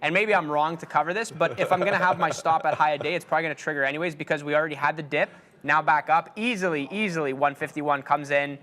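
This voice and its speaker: Chill day trader dude rambling about his trades, lots of ums, kinda high voice. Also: fairly even intonation, quick pace, few pauses, sharp tone. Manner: laid-back, rambling, lots of fillers. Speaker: day trader on a live show. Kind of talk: live trading commentary.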